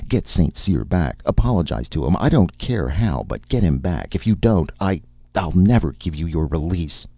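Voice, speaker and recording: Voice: low voice, sing-song, moderate pace, husky, no room sound, lo-fi sound, voice pushed up, exaggerated voice. A man voicing a frantic, blustering male studio boss who pleads and snaps in an urgent, exclamatory way. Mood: frantic pleading.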